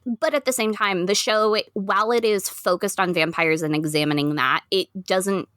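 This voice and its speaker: A woman co-hosting a podcast, giving analytical discussion in a measured, articulate way. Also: medium pitch, steady tone, a bit bright, dry recording, clean studio sound. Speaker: podcast co-host.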